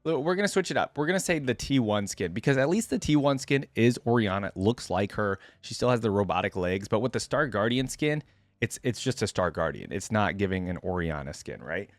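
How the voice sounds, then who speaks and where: A man's voice: fairly low pitch, lively intonation, a little fast, dry recording. A man hosting a reaction video, commenting casually and conversationally on game skins as he thinks aloud.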